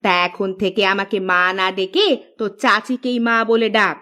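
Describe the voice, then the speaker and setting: A woman's voice: medium pitch, moderate pace, sharp tone, lightly acted. A woman acts a village housewife and mother in a kids' cartoon. Her voice sits well below a child's, firm and motherly, with a scolding edge. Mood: hospitable, matter-of-fact.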